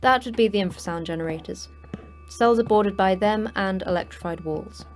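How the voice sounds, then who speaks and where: An actress playing the terse, dry manager of a secret prison for monsters in a sci-fi horror audio drama, speaking in a clipped, matter-of-fact way. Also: female voice, mid-range voice, moderate pace, faint music behind, natural voice. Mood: dry, grim.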